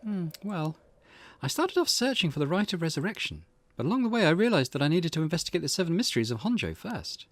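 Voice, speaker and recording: A man's voice: on the high side, big pitch swings, fast, fairly bright tone, pitched above the performer's own voice. A man voicing a perky, teasing young woman who loves the occult, playful and eager, in a visual novel read-aloud.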